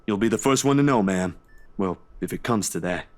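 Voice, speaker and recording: A man voice-acting a steady, easygoing friend in a visual novel, casual and coaxing as he reassures. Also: on the low side, a little fast, close to an everyday voice. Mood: gentle, lighthearted.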